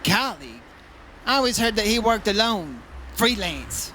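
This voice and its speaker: A man's voice: high-pitched, sing-song, moderate pace, bright, forceful tone, a little hiss or hum, heavily acted. A man playing a wry, teasing insurance investigator in an old-time radio detective play. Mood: probing, businesslike. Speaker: lead actor in a radio play.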